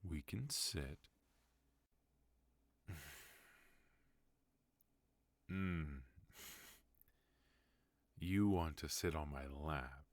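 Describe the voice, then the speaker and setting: A young man playing a weary, devoted mafia boss husband in an ASMR roleplay, soft-spoken and teasing, on a close mic. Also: sits low, no room sound. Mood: exasperated.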